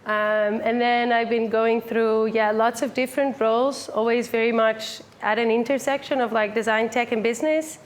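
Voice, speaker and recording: Woman, twenties, raised in the Netherlands; upbeat casual presenter, bright higher register, live room. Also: steady tone, moderate pace, few pauses, a little hiss or hum. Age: 20s. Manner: upbeat, chatty, many fillers like "like" and "um". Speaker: meetup speaker. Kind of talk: casual conference talk. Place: full room with a live audience.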